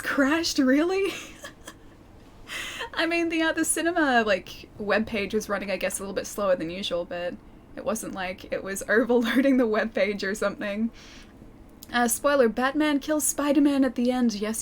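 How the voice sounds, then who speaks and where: A young woman streaming a game and chatting with her viewers, her voice a little croaky and scratchy from a sore throat, laughing often and calling people dude and man. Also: fairly high pitch, normal speed. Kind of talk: casual chat with live stream viewers.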